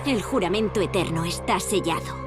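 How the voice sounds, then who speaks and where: A woman's voice: fairly low pitch, brisk, lightly acted. A woman voicing a haughty, prickly teenage witch rival with a Castilian Spanish accent in a cartoon dub. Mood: smug challenge. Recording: music behind the voice.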